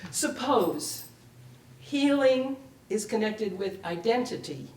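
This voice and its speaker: An older woman reads a personal essay in a slow, deliberate way, her pitch moving expressively through each literary phrase. The sound is roomy, as from a microphone some way off. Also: big pitch swings, a little mellow. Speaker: writer reading her own piece. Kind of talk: dramatic reading of a personal essay. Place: library event room, live reading. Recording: roomy, distant mic, faint noise.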